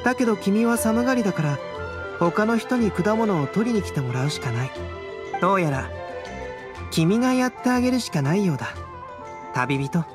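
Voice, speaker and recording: An actor playing a calm young male alchemist scholar in an anime game, measured, wry and softly teasing. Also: sits high. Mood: calm, explaining. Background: game music.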